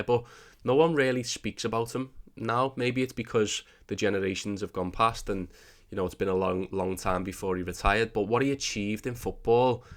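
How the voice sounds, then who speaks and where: Thirties man, lowish voice, mild cold, easygoing rambling sports commentary. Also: fairly bright tone. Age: 30s. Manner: chatty and rambling, with many restarts. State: has a cold. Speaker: sports video host. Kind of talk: casual commentary over football clips.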